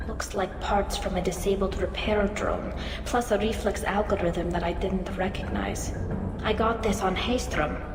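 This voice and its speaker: An actress plays a young female alien engineer in a video game. She is earnest and loyal, with a medium-pitched voice and precise delivery. The sound is echoey, with loud music underneath. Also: measured pace, close to an everyday voice. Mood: matter-of-fact. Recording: echoey hall reverb, loud music behind.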